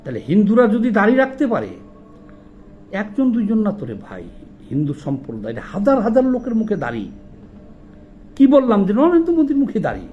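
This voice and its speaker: High-pitched, animated Bangladeshi man arguing. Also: a little fast, pauses often, muffled recording, soft background music. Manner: indignant, argumentative, colloquial. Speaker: social commentator. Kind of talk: informal video commentary, rhetorical questioning.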